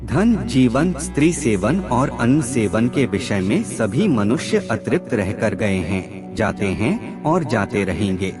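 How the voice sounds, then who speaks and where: A man reading scripted moral aphorisms as a narrator, in a measured, formal recitation. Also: fairly low pitch, sing-song. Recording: music bed under the voice. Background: background music.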